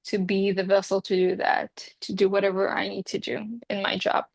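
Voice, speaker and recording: A woman's voice: mid-range voice, level tone, moderate pace, creaky, no echo. A woman in her twenties whose first language is Hebrew, a Reiki healer speaking in an interview in an earnest, rambling way with many fillers. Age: 20s. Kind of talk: casual interview talk.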